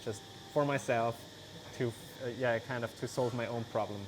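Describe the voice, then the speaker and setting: A man speaks English as an in a loose, conversational way, leaning on "like" and "you know". His voice sits at a middling pitch for a man. The recording is outdoors, with crickets and insect noise plainly heard behind him. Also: moderate pace. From Switzerland. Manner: relaxed, many "like" and "you know" fillers. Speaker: guest, vegan cheese maker. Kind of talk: casual interview.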